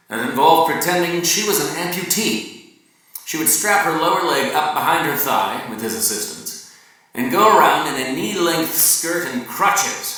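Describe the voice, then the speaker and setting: A man giving a wry, theatrical dramatic reading of a novel's monologue at a live event, swinging from loud emphasis to hushed murmurs. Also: medium pitch. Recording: very echoey room, distant mic.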